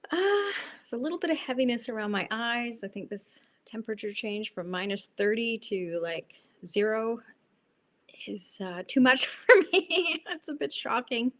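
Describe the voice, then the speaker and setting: A woman's voice: fairly high pitch, animated, moderate pace, fairly bright tone. A woman co-hosting a casual live-streamed talk, playful and teasing, laughing often. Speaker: co-host.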